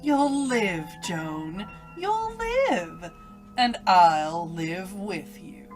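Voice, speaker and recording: A woman's voice: medium pitch, big pitch swings, drawn-out words, faint music behind. A woman playing an evil, taunting alter ego, a voice inside a condemned girl's head, in old-time radio drama style. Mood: taunting, gloating. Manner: menacing, taunting, gloating.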